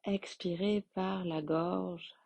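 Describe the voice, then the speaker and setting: A woman guiding a yoga nidra relaxation as its teacher, in gentle, unhurried phrases with long pauses. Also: lowish voice, fairly even intonation, gravelly, husky, no room sound. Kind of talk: guided meditation, slow soothing instruction.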